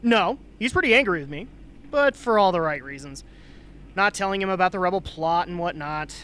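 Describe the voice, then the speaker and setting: An actress playing a calm, matter-of-fact confidant and minder in an amateur radio play. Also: female voice, lowish voice, brisk. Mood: reassuring. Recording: noisy amateur recording.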